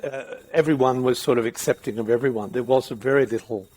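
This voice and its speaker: A man's voice: medium pitch, fairly quick, a little mellow, no echo, low-quality recording. A middle-aged man, hosting a podcast interview in a rambling, thinking-aloud way. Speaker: podcast host. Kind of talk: casual interview.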